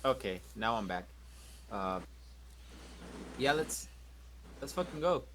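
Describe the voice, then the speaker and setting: A man playing in a tabletop game session, blunt and profane, asking quick rule questions. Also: medium pitch, expressive, noisy background. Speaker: player. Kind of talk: casual tabletop game chat.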